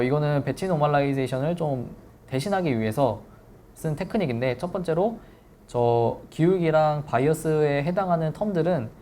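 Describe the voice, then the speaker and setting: Mellow-voiced lecturer, soft dark tone, slight noise. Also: medium pitch. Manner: explanatory, step-by-step, checking back with listeners. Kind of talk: technical seminar lecture.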